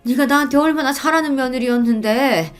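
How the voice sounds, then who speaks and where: Indignant older mother played by a female narrator, high, pleading, reproachful, for radio-story drama over faint music. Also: brisk, close to an everyday voice. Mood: hurt, indignant. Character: wronged, indignant elderly mother-in-law.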